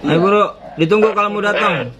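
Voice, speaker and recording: A man's voice: sits high, a little fast, a loud crowd. A man defiantly taunting and mocking a debt collector during a heated phone argument. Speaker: borrower confronting a debt collector. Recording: phone call recorded with noisy background.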